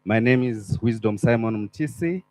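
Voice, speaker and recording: A man's voice: fairly low pitch, animated, moderate pace, a little mellow. A man from Zimbabwe introducing himself formally at a conference. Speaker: conference delegate.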